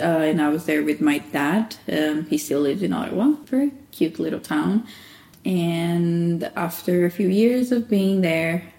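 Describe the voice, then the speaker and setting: A woman's voice: on the low side, echoey room. A woman from Angola who owns a candle and soap shop, answering interview questions in a casual, chatty way with frequent laughs. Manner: warm laughing, chatty with self-quoting.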